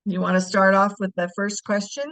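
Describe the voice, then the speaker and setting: A woman's voice: mid-range voice, little pitch movement, fairly quick, a bit bright, dry recording. A middle-aged woman facilitating a breakout discussion on a video call, asking encouraging, probing questions. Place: home living room.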